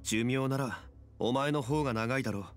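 An actor voicing a calm, dignified ancient male god in human form, unhurried in speech. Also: medium pitch, lightly acted. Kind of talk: Japanese game dub.

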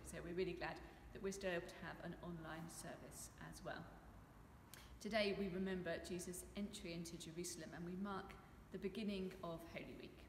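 A middle-aged woman leads a church service as priest, speaking in a measured, solemn way. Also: mid-range voice. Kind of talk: church liturgy and welcome. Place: inside a stone church, standing back from camera. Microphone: camera a few metres away. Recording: echoey church, faint background noise.

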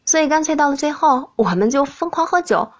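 A woman reading a novel as an expressive audiobook narrator. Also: high-pitched, brisk.

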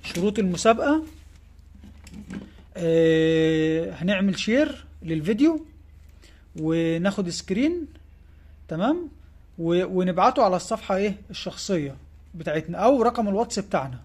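A man's voice: high-pitched, big pitch swings, moderate pace, long pauses, a little mellow. A man with an Egyptian Arabic accent, a fishing tackle shop owner, casually presenting giveaway prizes in his shop and coaxing children along, often checking in with "tamam?".

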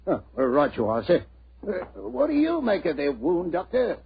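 On a dull old radio recording, a bossy English police inspector. He is gravelly and husky, blustering in a rather high, animated pitch. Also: normal speed, slight background noise, exaggerated voice. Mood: flustered. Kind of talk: 1940s radio acting.

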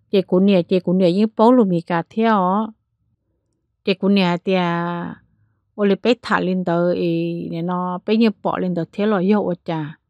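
A woman narrating a spooky tale in a lively storytelling manner, changing pace and loudness as she goes. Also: on the low side, no room sound, muffled recording. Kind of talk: spoken ghost story narration.

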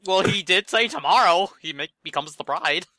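A man's voice: sits high, animated, moderate pace, bright, forceful tone, no echo. A young man joking casually as a co-host, cutting in with incredulous, teasing questions. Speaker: co-host commenting on a story reading. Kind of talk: casual joking chat over gameplay.

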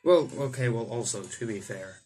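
A man's voice: mid-range voice, moderate pace, darkish tone, slightly rough. A young man hosting a visual novel let's play, reading text aloud and adding exclamatory, theatrical asides. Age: young adult. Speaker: gaming video host. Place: indoor room. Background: game music.

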